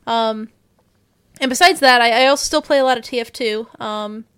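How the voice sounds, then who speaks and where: A woman's voice: on the high side, moderate pace, a bit bright, smooth. A woman who works as a game effects artist, chatting casually and rambling with many restarts. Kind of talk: casual podcast chat.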